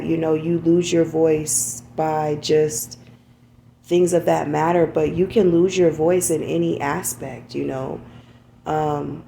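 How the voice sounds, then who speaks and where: Low, gravelly woman speaking candidly. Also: mellow, a little hiss or hum. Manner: candid, repeats "you know what I'm saying". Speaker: podcast host. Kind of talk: reflective personal monologue.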